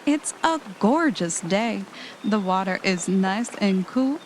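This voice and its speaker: A woman's voice: medium pitch, lively intonation, takes it slowly, a little hiss or hum. A woman playing an anxious but adventurous podcaster on vacation in an audio drama. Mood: upbeat, coaxing.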